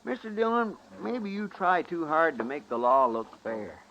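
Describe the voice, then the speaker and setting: An earnest frontier sidekick talks in a folksy, deferential way. His voice is fairly high and gravelly, and he flusters easily. It is vintage western radio with heavy noise. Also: big pitch swings, normal speed, a bit bright, muffled recording. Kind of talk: 1950s western radio acting. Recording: very noisy vintage radio recording.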